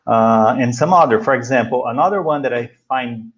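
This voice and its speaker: Brazilian English teacher, slightly dull audio. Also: male voice, medium pitch, a little fast. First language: Portuguese. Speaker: English teacher hosting a guest chat. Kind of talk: casual teaching chat.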